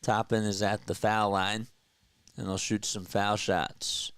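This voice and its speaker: A man with a stuffy nose calling live basketball play-by-play in an energetic, chatty way, talking to his viewers between plays. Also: fairly low pitch, slow pace, clean tone, no echo. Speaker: play-by-play caller.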